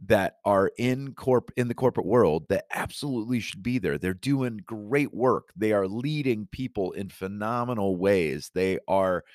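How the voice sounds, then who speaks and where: Energetic encouraging man with a cold. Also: fairly low pitch, measured pace, hardly any pauses, no room sound, studio quality. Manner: enthusiastic, encouraging, addresses listener directly. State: has a cold, voice a little scratchy. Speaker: podcast host and coach. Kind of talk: upbeat solo podcast talk. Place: his studio.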